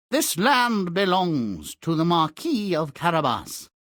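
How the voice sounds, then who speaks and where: A man voicing a sly, flattering trickster cat servant for a fairy tale video game, with oily mock politeness and purring insinuation. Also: high-pitched, slow, dry recording, clean studio sound, exaggerated voice. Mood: teasing, flattering.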